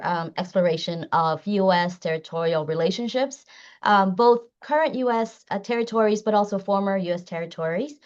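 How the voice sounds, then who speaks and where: A woman law professor who grew up in the Northern Mariana Islands, introducing herself earnestly with frequent "um" fillers over a video call. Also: on the low side. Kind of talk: self-introduction on a panel. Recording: dull, lo-fi call audio.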